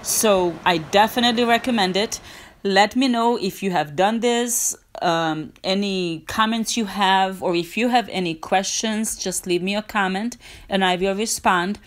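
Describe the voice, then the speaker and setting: A woman's voice: medium pitch, unhurried. A woman narrating her hiking vlog in a casual, earnest, encouraging way, with small restarts. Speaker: hiking vlogger.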